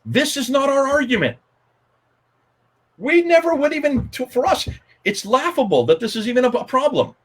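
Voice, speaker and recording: Older man, emphatic storyteller, lively pitch, slightly husky, webcam audio from a home study. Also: moderate pace, long pauses. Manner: emphatic, repetitive, gesturing a lot. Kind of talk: animated storytelling in a panel discussion. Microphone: video call webcam.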